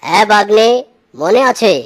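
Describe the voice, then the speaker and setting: A woman's voice: medium pitch, normal speed, close to an everyday voice. A woman voicing a gullible, hardworking male tiger uncle in a children's cartoon, earnest and plain-spoken. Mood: trusting.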